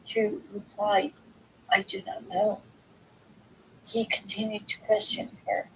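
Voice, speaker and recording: A woman reading a book aloud informally, in a halting way, sometimes stumbling over words and correcting herself. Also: medium pitch, little pitch movement, a bit bright, a little hiss or hum, rough recording. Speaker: reader of a book aloud, video host. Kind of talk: informal read-aloud of a self-help philosophy book.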